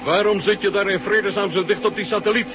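An actor playing a gruff, impatient Soviet marshal who barks orders over the radio in a radio drama. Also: male voice, high-pitched, steady tone, fast, lightly acted. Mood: scolding. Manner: brusque, barking radio orders. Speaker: marshal piloting a space shuttle. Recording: noisy old radio play recording.

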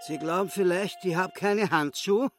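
An actor playing a cocky, boastful small-time chess hustler in a radio play, talking in a self-important, challenging way. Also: male voice, sits high, normal speed, soft background music, lightly acted. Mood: defiant, taunting.

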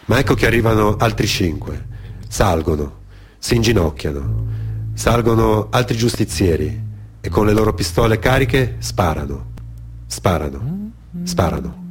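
A man performing a theatrical narrative monologue for radio, in a dramatic, deliberate manner with repetitions and weighted pauses. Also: on the low side, sing-song. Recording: music behind the voice. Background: music.

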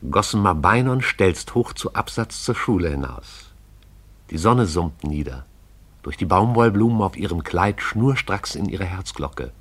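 An actor as the narrator of a poetic radio drama, telling it in a lyrical, wry storytelling manner. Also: male voice, low voice, breathy edge, full character voice.

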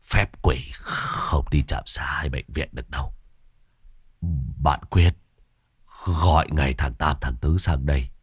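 A man voicing a gravely wounded old sorcerer who talks in weak, halting, gruff bursts. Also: extremely low pitch, dry recording, clean studio sound, voice pushed down, exaggerated voice.

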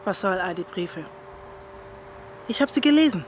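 With light noise behind her, an actress plays a middle-aged stepmother in a film drama. Her voice is medium in pitch, and she comes across as a stern, hurt woman speaking naturally. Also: lively intonation, moderate pace, close to an everyday voice. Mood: upset, defensive. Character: stern, wounded stepmother, a care worker. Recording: faintly noisy film location sound.

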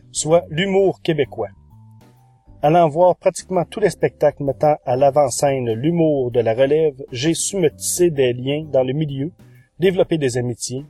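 Higher-pitched man hosting a podcast. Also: dark tone, slightly rough. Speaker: podcast host. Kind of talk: podcast monologue reviewing audio shows.

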